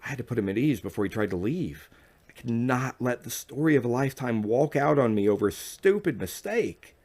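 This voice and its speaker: A man narrating an audiobook in the first person, with a measured, dramatic reading manner. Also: mid-range voice, lively intonation, darkish tone.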